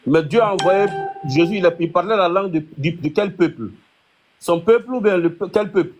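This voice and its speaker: A man's voice: high voice, a little fast, background noise. A man leading a live religious debate, arguing his point with frequent restarts. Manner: argumentative, many restarts.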